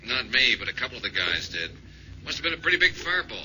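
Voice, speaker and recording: A man's voice: medium pitch, big pitch swings, fast talker, sharp tone. A man playing a jocular, colloquial fire dispatcher in a 1950s radio drama, on a very noisy old recording. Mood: businesslike. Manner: casual, colloquial, bantering.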